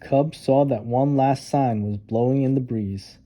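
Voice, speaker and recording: A man narrating a children's storybook with expressive, dramatic emphasis on key words. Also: mid-range voice, unhurried. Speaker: storytime reader.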